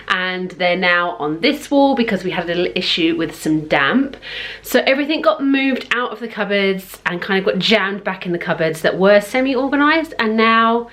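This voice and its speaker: A woman's voice: medium pitch, fairly bright tone. A woman in her thirties talking casually and informally as she explains things like a home vlogger. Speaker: parenting vlogger. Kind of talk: casual chatty home tour.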